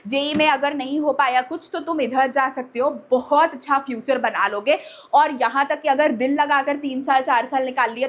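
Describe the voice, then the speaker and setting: A woman with a notably high voice talks directly to young students as their online teacher. She addresses them familiarly, like an elder sister, mixes English phrases into her speech and often adds short tags to check they follow. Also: a bit bright. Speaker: online teacher and channel host. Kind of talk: direct-to-audience vlog talk with a sponsored pitch.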